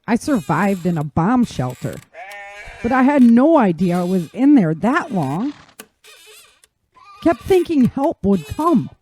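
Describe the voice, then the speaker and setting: A woman's voice: mid-range voice, big pitch swings, slow, long pauses, mellow. A woman playing an injured, bewildered survivor in an audio drama, dazed and anxious. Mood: disbelief.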